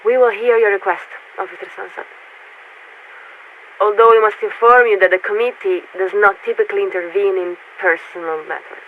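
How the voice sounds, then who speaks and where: An actress playing a formal, detached warship officer who speaks for a committee in clipped, measured phrases through a radio filter in a video game. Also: female voice, mid-range voice, steady tone. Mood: solemn, official. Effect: radio transmission filter. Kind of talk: scripted video game voice acting. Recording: tinny, narrow-band radio with static.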